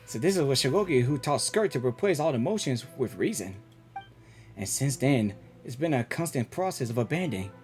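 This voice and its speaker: Animated, lower-pitched woman reacting to a game. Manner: informal, halting, exclamatory. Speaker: gaming reaction streamer. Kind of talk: casual live game reaction commentary.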